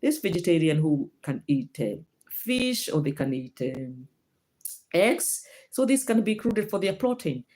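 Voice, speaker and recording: A woman's voice: lowish voice, big pitch swings, a little slow, dark tone. A woman from Kenya with a Kenyan accent, hosting a casual livestream health talk and answering viewer comments, with many fillers and restarts. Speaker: livestream host. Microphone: phone or laptop livestream.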